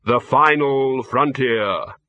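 A man voicing a solemn skeletal reaper, speaking slowly and tersely in a deadpan way for an audiobook. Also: mid-range voice, no room sound, dull audio.

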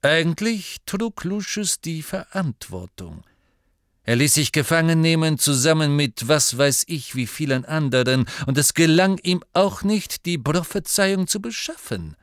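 A man voicing a guarded, commanding wizard in an audiobook, with a measured, aloof and emphatic manner. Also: fairly high pitch, animated, no echo, studio quality, voice pushed up.

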